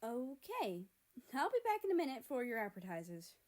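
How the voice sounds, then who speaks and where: A woman's voice: fairly high pitch, big pitch swings, normal speed, darkish tone, pitched above the performer's own voice. A woman voicing a perky, polite waitress in a story reading, chirpy and eager to please. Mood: uneasy, polite. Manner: chirpy, apologetic customer-service politeness.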